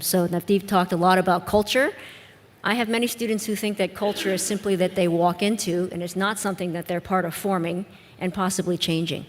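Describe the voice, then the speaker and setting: A woman with a Midwestern American accent, an educator answering on a discussion panel in a measured, reflective way with frequent restarts. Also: medium pitch. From United States. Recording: long reverb of a conference hall.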